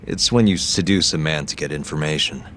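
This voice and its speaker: An actor voicing a cool, confident, scheming private detective in a video game dub, casual and matter-of-fact. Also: male voice, very deep voice, a little fast, fairly bright tone, a little hiss or hum. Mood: businesslike. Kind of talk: English game dub acting. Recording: music playing behind the voice.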